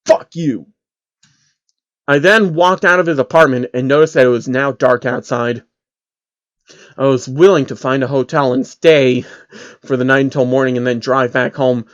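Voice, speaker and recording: A man's voice: mid-range voice, fairly even intonation, normal speed, dull audio. A man narrating as host of a dramatic creepypasta reading, telling a first-person story to listeners. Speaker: narrator, channel host reading fan stories.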